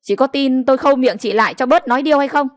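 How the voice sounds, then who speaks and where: A woman voicing a sharp-tongued, fiercely protective village wife in an audio story, with a scolding, cursing manner. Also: sits high, fast, no echo, studio quality, voice pushed up, natural voice. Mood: furious.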